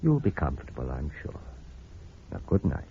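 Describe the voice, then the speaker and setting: Casting: authoritative doctor and spurned suitor, vintage radio play, very low voice, muffled hissy recording. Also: male voice, wildly swooping pitch, moderate pace, dark tone, exaggerated voice. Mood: professional, soothing. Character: bitter, controlled eye surgeon, a spurned former suitor. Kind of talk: old-time radio drama acting.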